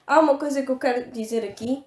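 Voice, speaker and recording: A woman's voice: high voice, quick pace, a little mellow. A woman in her twenties with a European Portuguese accent, co-hosting a casual chat with playful banter. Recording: echoey room.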